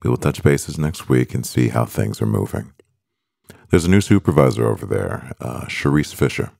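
A man acting a composed, doting CEO boyfriend in an ASMR roleplay, speaking in a measured, gravelly voice. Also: lively intonation, darkish tone, no room sound, clean studio sound, heavily acted. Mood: composed, businesslike. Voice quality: very deep, gravelly. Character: powerful male CEO boyfriend, composed, doting, gently teasing.

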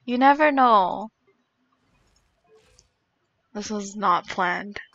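A woman's voice: medium pitch, drawn-out words, a bit bright. A young woman live-streaming a game in casual, reactive chat, swearing casually, on slightly dull lo-fi audio.

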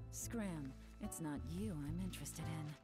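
A woman playing a cool, menacing intelligence agent in an anime game trailer, unhurried and taunting. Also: sits low. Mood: dismissive.

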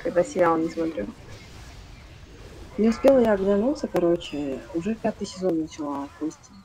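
A young woman chatting over game voice comms, her voice low and mellow. She talks easily and acts out little inner dialogues. A faint hiss of noise sits behind her. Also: expressive, moderate pace, rough recording. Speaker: raid player on voice chat. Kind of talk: casual gaming voice chat.

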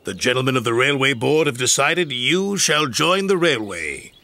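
A man's voice: mid-range voice, lively intonation, normal speed, pushed and bright. A man voicing a proud, kindly railway boss with formal authority in a children's story. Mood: firm, reassuring.

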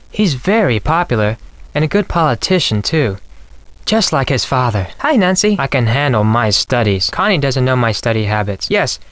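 A man's voice: mid-range voice, no echo, lightly acted. A man playing a polite, formal, anxious young Japanese exchange student in a video game. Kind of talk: scripted video game dialogue.

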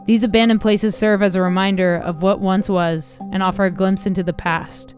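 A woman hosting a channel, reading a scripted documentary narration in a measured, even countdown manner. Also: medium pitch. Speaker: channel host. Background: music bed.

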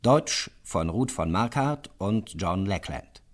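A man's voice: low voice, animated, slow, soft background music. A man reading a scripted title announcement as the announcer of a radio play.

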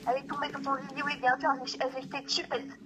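A woman's voice: sits high, flat delivery, rapid-fire, a bit bright, a little hiss or hum. A young woman talking bluntly and informally in slang over a phone call played on speaker.

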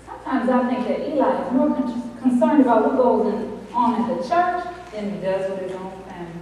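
A woman's voice: medium pitch, lively intonation, fairly quick, mellow, slight background noise, lightly acted. Woman acting a fed-up wife, echoey hall. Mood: weary, hurt. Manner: emphatic, conversational venting. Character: weary, fed-up wife and mother confiding troubles. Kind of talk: stage drama acting. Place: indoor theatre stage with living-room set. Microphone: far camera. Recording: echoey theatre hall, far camera.

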